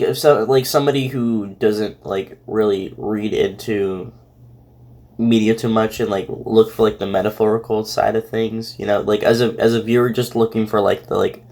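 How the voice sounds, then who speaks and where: Halting, rambling man giving casual reaction commentary. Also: fairly low pitch, darkish tone. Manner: halting, rambling, heavily repeating words. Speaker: host reacting to a fan fiction audio reading.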